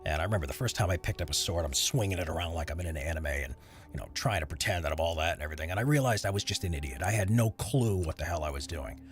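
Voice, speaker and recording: A man with a very low voice talks casually on a live stream while he works, and his pitch swings widely from phrase to phrase. He rambles and answers chat as it comes in. Faint music sits under him. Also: lively intonation, very fast. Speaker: streamer editing voiceover audio.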